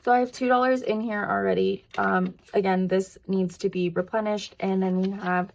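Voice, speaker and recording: A woman vlogger talking casually and thinking aloud as she works, her voice croaky and tired from long talking. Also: medium pitch, normal speed, a little mellow, rough recording. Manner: rambling, thinking aloud, counting to herself. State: voice tiring after long filming. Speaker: budgeting vlogger. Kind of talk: casual chatty hands-on narration.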